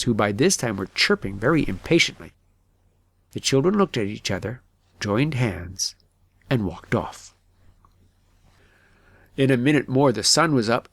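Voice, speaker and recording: A man narrating a fairytale audiobook in an expressive, measured storybook manner. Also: mid-range voice, vocal fry, no room sound.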